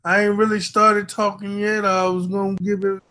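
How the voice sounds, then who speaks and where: Man, high voice, casual host, slangy, repeats words. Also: flat delivery, normal speed, very noisy. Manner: repetitive, loose, slangy. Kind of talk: casual chat.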